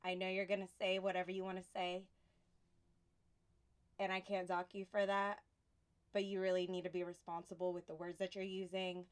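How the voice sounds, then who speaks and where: Smooth-voiced woman giving a relaxed tarot reading. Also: on the low side. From Houston. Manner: soft, unhurried, reflective delivery with pauses. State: sniffly from allergies. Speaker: tarot reader.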